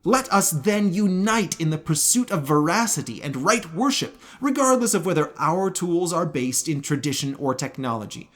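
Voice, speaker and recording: A man narrating a scripted religious commentary in a formal, measured way with precise enunciation. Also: fairly high pitch, big pitch swings, a bit bright. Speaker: video essayist.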